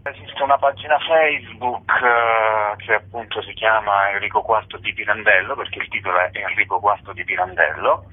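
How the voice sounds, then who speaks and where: A man's voice: lowish voice, steady tone, normal speed, hardly any pauses, husky. A man explaining informally and a little hesitantly as a radio interview guest. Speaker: interview guest, actor and writer. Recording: narrow phone line on radio.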